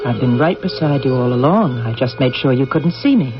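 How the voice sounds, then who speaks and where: An actor voicing a calm, mysterious alien visitor with formal, measured speech in a 1980s radio drama. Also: male voice, mid-range voice, lightly acted. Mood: calm, persuasive. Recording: lo-fi old radio broadcast, music behind.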